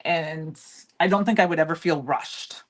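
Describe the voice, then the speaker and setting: Loud chatty woman hosting a craft livestream. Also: low voice, moderate pace. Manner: chatty, rambling, answers viewer comments. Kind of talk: live crafting demo and chat with viewers.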